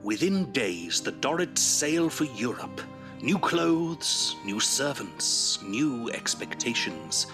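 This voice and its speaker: A male actor as the storyteller of a Victorian audio drama, reading scripted narration in a measured, grave, literary way. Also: medium pitch, very animated, music in the background. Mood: solemn, wistful.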